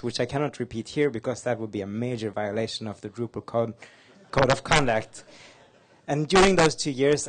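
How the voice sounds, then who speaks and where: Norwegian guy doing a quick upbeat talk on stage, bit of joking at himself. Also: mid-range voice. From Norway. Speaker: conference speaker. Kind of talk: fast-paced slide talk. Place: conference hall.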